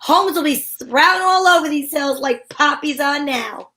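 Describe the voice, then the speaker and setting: Woman doing breezy 1950s radio lady private eye, pretty high voice, amateur play reading. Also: measured pace, pushed and bright. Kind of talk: old time radio play read by amateurs.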